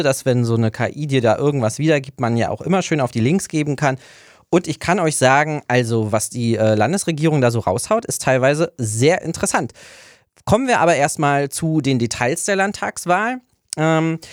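A man's voice: on the high side, no room sound. A man hosting a local radio politics show, explaining casually and thinking aloud with many fillers, in a radio studio.

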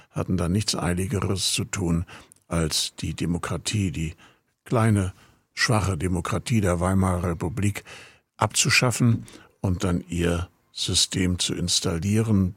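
Gravelly-voiced male radio host from Rostock. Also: sits low, dry recording. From Rostock, Germany. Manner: chatty, meandering, introducing music. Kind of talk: casual radio presentation.

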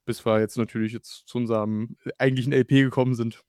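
A man's voice: mid-range voice, little pitch movement, fast talker, a little mellow, no echo, clean studio sound. A man, the guitarist and songwriter of a band, answering interview questions casually with frequent fillers.

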